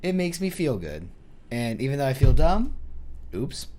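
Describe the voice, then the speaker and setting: A man with a hoarse voice from a cold, giving casual, self-mocking commentary over his own gameplay, thinking aloud and getting excited at small wins. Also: mid-range voice, normal speed, a little hiss or hum. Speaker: gaming YouTuber.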